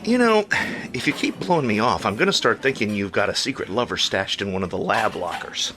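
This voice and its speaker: An actor playing an easygoing, playful and supportive boyfriend in an audio drama, with casual banter. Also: male voice, fairly low pitch, expressive, fairly quick, noisy background, close to an everyday voice. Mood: playful, teasing.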